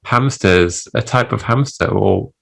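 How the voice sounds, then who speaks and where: A woman from England, a teacher explaining things in a relaxed, casual chat and laughing easily. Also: extremely low pitch, measured pace, creaky. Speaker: English teacher, co-host. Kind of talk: casual conversational Q&A.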